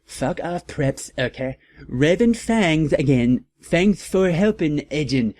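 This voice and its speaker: A man's voice: fairly high pitch, animated, takes it slowly, darkish tone, voice pushed up. A man voicing a defensive, sulky teenage girl author with a bratty, petulant manner in a comic reading.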